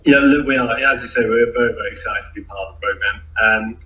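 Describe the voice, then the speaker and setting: A man who founded a startup answers interview questions, restarting sentences often and filling pauses with "uh" and "um". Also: on the low side, pushed and bright. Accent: non-New Zealand, foreign-born. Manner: halting, many restarts and fillers. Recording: echoey, dull lo-fi audio.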